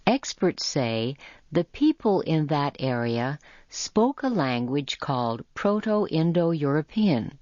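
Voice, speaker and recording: A woman reading a scripted educational narration for English learners, with deliberate phrasing and careful enunciation. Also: deep voice, a little mellow, dry recording. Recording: muffled lo-fi audio.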